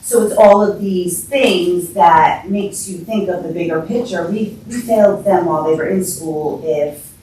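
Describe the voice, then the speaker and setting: A woman speaking as a former teacher, answering hesitantly at a public meeting with pauses between phrases. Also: lowish voice, darkish tone. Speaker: former teacher, board candidate. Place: indoor council meeting room. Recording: very echoey, distant mic, faint noise.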